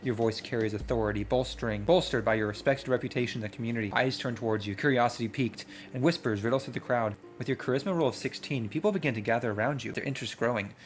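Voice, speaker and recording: Clear music plays behind a man with a mid-pitched voice. He is running through a role-playing game, switching between reading narration aloud and excitable commentary to himself. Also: fast, few pauses. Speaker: solo role-playing game player and streamer.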